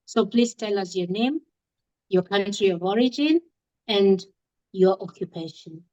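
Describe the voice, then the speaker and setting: A woman's voice: mid-range voice, a little slow, a little mellow, muffled recording. A woman hosting a podcast interview, asking questions with frequent fillers and hesitations. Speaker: podcast host.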